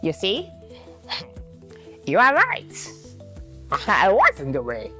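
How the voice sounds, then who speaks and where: Lady putting on a cunning old tortoise guy, teasing and sly, slow, faint music. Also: medium pitch, wildly swooping pitch, bright, forceful tone, heavily acted.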